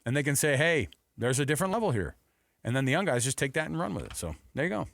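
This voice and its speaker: A man's voice: mid-range voice, very animated, quick pace, gravelly, fairly bright tone, no echo. An American man hosting a sports radio show, talking casually and conversationally into a close studio mic. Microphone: close studio broadcast microphone.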